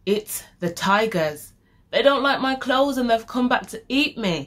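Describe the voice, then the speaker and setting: A woman voicing a quick-thinking, nervous boy in a children's storybook, bargaining in a persuasive, pleading manner. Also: fairly high pitch, expressive, voice pushed up. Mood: frightened.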